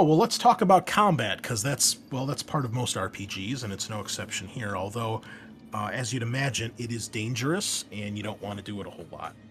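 A man hosting a casual, unscripted tabletop game explainer, talking in a conversational way and wandering into asides as he goes. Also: medium pitch. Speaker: host and game master introducing a tabletop game.

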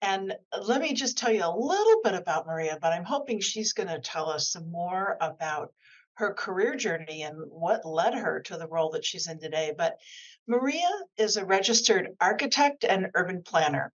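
A woman's voice: lowish voice, animated, normal speed, husky. Older woman hosting over a dull video call. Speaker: podcast host. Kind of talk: interview hosting, introducing a guest. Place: office meeting space, indoors.

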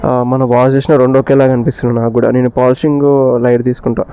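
A man's voice: medium pitch, little pitch movement, fast, warm tone, smooth. A man with a Telangana Telugu accent, chatting casually as a motovlogger and mixing English words into his speech. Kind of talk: casual vlog chat. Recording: vehicle and ambient noise behind. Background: faint vehicle noise.

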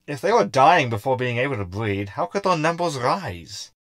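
A cranky robot crewmate from a sci-fi audio comedy, played by a man, wordy and mocking. His pitch is lively and mid-range, recorded very dry with a mean, sarcastic edge. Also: normal speed, dry recording. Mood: dry, curious.

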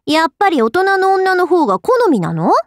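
A woman's voice: very high-pitched, very animated, moderate pace, no echo, clean studio sound. A woman voicing a proud young goddess swordswoman in an anime game, cool and dignified but easily flustered, with aloof asides. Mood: aloof, teasing. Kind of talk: anime game character voice lines.

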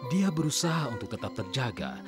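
Loud music plays behind a woman with a very deep voice. She narrates a cartoon for small children in an expressive storytelling style, her pitch moving in lively swings. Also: brisk. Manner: expressive, addressing toddlers with questions and exclamations.

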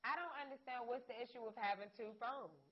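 A woman's voice: fairly high pitch, brisk. A young woman co-hosting a casual studio talk show, blunt and joking in a plain-spoken way. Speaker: co-host. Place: TV studio set, indoors. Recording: dull lo-fi broadcast sound.

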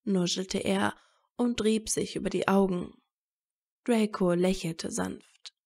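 A woman narrating scripted fan fiction as a story, in a measured storytelling manner. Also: mid-range voice, fairly even intonation, creaky, darkish tone.

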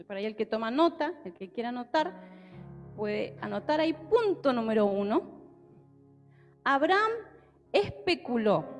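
A young Argentine woman preaches into a handheld mic, her high voice swooping up and down as she talks with her listeners. Her words ring out with the long reverb of a church hall, with soft music faint behind her. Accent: Argentine Spanish. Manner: lively and conversational, often checking with listeners. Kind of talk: sermon with Bible reading. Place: church hall with a stage, indoors.

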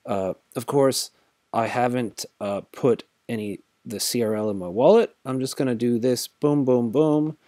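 A man's voice: mid-range voice, a little slow, mellow, dry recording, clean studio sound. Tired man rambling through a tutorial. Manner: rambling, thinks aloud with many fillers. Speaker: crypto tutorial host. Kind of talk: casual screen-recorded walkthrough.

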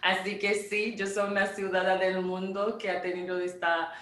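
A woman's voice: medium pitch, little pitch movement, moderate pace, fairly bright tone. A woman from Haiti in her forties, an image consultant, explaining earnestly over a video call from her home studio, with small restarts as she talks. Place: home studio room indoors. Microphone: video call from a laptop camera. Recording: echoey distant video-call audio.

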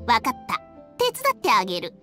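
A woman playing a haughty, sharp-tongued female snake in a video game, with a condescending, blunt manner. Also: fairly high pitch, huge pitch swings, a little fast, faint music behind, exaggerated voice. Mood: haughty, amused. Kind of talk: Japanese game voice acting.

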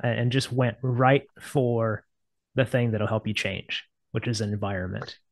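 Upbeat male host, 40s, mid-pitched and affirming, dry video-call sound. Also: steady tone, a little slow, no echo. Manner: enthusiastic, affirming. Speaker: interviewer host. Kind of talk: casual interview talk. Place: home office with bookshelves. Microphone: earphone mic on a video call.

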